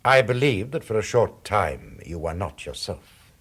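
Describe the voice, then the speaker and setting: An actor playing a cold, controlling crime boss in a radio drama, husky, measured, condescending and threatening. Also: male voice, on the low side, animated, a bit bright, heavily acted. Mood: coldly menacing. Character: cold, menacing, controlling male crime boss, cruelly possessive.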